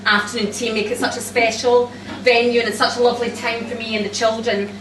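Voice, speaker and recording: A woman's voice: a little high, flat delivery, fast. A woman hosting an event, speaking informally to an audience. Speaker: event host and author. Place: busy cafe book launch, indoors. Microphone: distant camera microphone. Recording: roomy, distant, noisy crowd and loud music.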